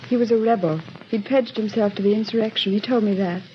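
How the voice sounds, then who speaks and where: An actress playing a timid, lovelorn young daughter, earnest and plaintive, in 1930s radio drama style. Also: female voice, mid-range voice, steady tone, quick pace, lightly acted. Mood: anxious, confessing. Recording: muffled, faintly noisy 1937 radio broadcast.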